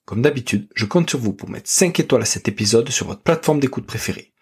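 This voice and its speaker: A man's voice: medium pitch, expressive, quick pace. A man hosting a sports podcast, reading a scripted welcome, then asking short interview questions. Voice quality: very husky, breathy. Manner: reads intro smoothly, asks short questions. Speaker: podcast host.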